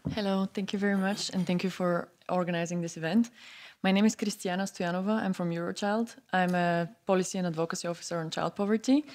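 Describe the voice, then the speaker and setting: Female policy officer, Bulgarian-accented, somewhat low voice, addressing a panel with a question. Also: fairly even intonation, fast talker, darkish tone, a little hiss or hum. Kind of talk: prepared question from the floor.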